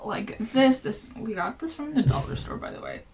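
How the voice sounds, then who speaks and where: A woman's voice: fairly high pitch, wildly swooping pitch, moderate pace, darkish tone, faint music behind. A woman book vlogger chatting casually to camera at home, playful, with lots of fillers and jokey asides. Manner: chatty and playful, many "like" and "you know" fillers.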